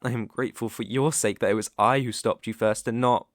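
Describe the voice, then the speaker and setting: A man with a British accent playing a sinister, smooth-talking werewolf in an ASMR roleplay, theatrical and teasing, sometimes dropping to a whisper. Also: medium pitch, fairly even intonation, brisk, dry recording, studio quality, lightly acted. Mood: sly reassurance. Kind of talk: ASMR roleplay audio acting.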